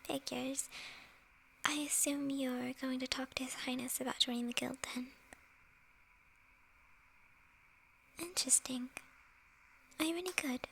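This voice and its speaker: A woman voicing a sly, flirtatious witch in an ASMR fantasy roleplay, teasing in a soft, breathy whisper. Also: sits high, fairly even intonation, a little hiss or hum. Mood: teasing, playful. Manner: slow, teasing, with soft chuckles.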